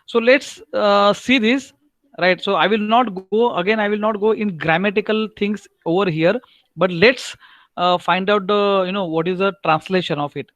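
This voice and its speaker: Marathi-accented male teacher, higher-pitched voice, patient and repetitive, dry computer-mic sound on a video call. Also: a bit bright, no room sound. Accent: Marathi-accented Indian English. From Maharashtra, India. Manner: repeats himself, keeps asking "right?", spells out German words slowly. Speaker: German language teacher. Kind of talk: online lecture to a class.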